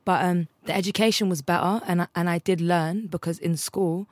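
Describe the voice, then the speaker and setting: A woman's voice: fairly low pitch, steady tone, normal speed, darkish tone, no room sound. A twenty-one-year-old woman, chatting casually as an interview guest, laughing often and acting out the voices in her stories.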